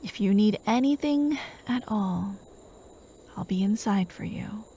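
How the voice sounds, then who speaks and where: A woman playing a doting, possessive female hypnotist in a soft-spoken ASMR roleplay, gentle and coaxing, often slipping into a whisper. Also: mid-range voice, unhurried, a little hiss or hum, close to an everyday voice. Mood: soothing, wistful. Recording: steady outdoor night ambience, chirping crickets. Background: crickets and night insects.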